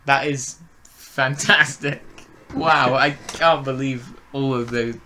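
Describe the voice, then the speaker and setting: A man co-hosting a casual fan review chat, speaking in a hesitant, halting way. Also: medium pitch, fairly even intonation, pushed and bright, a little hiss or hum. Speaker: podcast review co-host.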